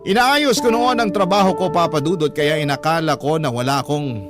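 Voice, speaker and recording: A man's voice: on the high side, brisk, close to an everyday voice. A man narrating a scripted first-person radio story as an earnest letter sender recalling the past. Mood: foreboding. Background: prominent music.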